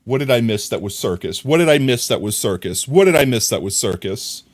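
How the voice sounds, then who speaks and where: A man talks his live viewers through a crafting game, his voice bouncing across a wide range. He thinks out loud and jumps into sudden high yelps when a result surprises him. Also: lively intonation, brisk. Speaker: streamer. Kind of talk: live game stream commentary.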